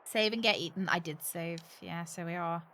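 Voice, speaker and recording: A woman's voice: fairly low pitch, fairly even intonation, moderate pace, heavy background noise. A woman doing casual live game commentary, thinking aloud in a playful, cheeky, rambling way and swearing freely. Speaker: game streamer.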